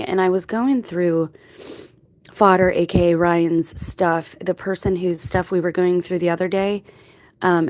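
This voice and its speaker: Female channel host, lowish pitch, informal rambling monologue, reflective and explanatory. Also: darkish tone. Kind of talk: casual rambling monologue to viewers.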